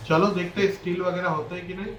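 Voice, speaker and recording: A man's voice: high-pitched, fairly even intonation, rapid-fire, fairly bright tone, reverberant, faint music behind. An Indian man giving casual gameplay commentary, mixing English words into his speech. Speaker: gaming YouTuber.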